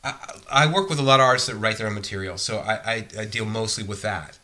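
A man speaks in a very bright, forceful tone. He chats casually and thoughtfully about working with bands in the studio. He often stops to restart his sentences. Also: on the low side, quick pace. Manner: thoughtful, hesitant, many restarts and fillers. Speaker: music producer. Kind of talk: casual conversation.

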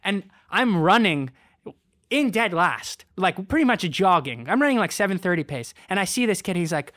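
A man's voice: high voice, normal speed, no echo, studio quality. A young man chatting casually and animatedly, joking at his own expense and filling his talk with "like". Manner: animated, self-mocking, lots of "like". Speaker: co-host, runner. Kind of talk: casual chat.

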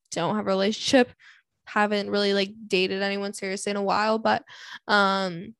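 Woman in her twenties, from Canada, gravelly fry, dry close sound, casual candid rambling vlog talk. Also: mid-range voice, no room sound. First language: English. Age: 20s. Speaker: vlogger answering viewer questions. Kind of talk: casual chat.

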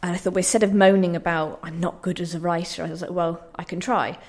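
A woman's voice: lowish voice, steady tone, quick pace, noisy background. A young woman giving reflective interview answers in a hesitant, self-deprecating, thoughtful way. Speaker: playwright being interviewed.

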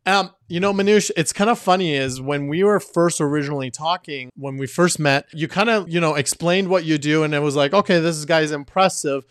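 A man's voice: a little high, brisk, hardly any pauses, fairly bright tone, smooth, no echo, clean studio sound. A man hosting a startup podcast, introducing his guest with upbeat enthusiasm. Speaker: podcast host. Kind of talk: podcast interview.

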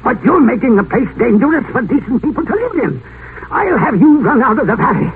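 An actor playing a blustering, bossy middle-aged estate owner in a 1950s radio drama, accusing and stammering. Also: male voice, extremely high pitch, big pitch swings, brisk, breathy edge, full character voice. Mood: angry, blustering. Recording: tinny noisy old radio broadcast.

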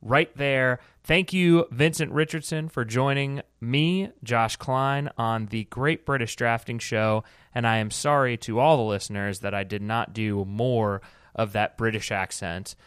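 A man's voice: medium pitch, steady tone, measured pace, a bit bright, clean tone, no room sound, studio quality. An American man hosting a podcast, upbeat and prompting his guest with teasing asides. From United States. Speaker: podcast host. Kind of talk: casual podcast chat.

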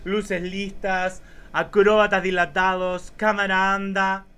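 A man's voice: high voice, flat delivery, slow, noisy background. A man playing a courtly, lecherous B-movie filmmaker in a campy radio play, theatrical and gushing. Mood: enamored, excited.

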